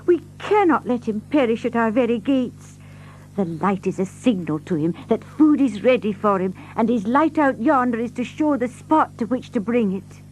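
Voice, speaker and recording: An actress playing a distraught, protective servant's wife in an audio drama, earnest and pleading. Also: female voice, on the high side, expressive, normal speed, darkish tone, slight background noise, close to an everyday voice, poor audio. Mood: pleading, anguished. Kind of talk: radio-play dramatic acting.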